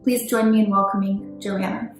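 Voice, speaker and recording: A woman's voice: mid-range voice, flat delivery, normal speed, very echoey, faint music behind. A woman program manager reading a formal introduction for the next speaker. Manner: formal, read-out welcome. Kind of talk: scripted introduction.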